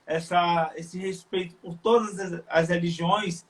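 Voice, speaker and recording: Earnest high-voiced Bahian man. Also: steady tone. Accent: Bahian Brazilian Portuguese. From Salvador, Bahia, Brazil. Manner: earnest, halting with frequent fillers. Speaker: live stream guest. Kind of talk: casual chat.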